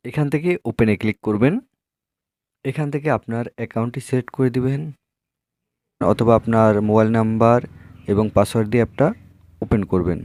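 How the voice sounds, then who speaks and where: A man's voice: medium pitch, fairly even intonation, brisk, long pauses, a little mellow, dry recording. A man hosting a tech tutorial, addressing viewers in an instructional, scripted way with repeated step-by-step phrasing. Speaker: tech tutorial video host.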